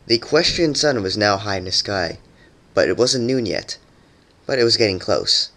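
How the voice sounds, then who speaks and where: A narrator giving an animated dramatic reading of a fan fiction story. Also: low-pitched, normal speed. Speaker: narrator and voice actor.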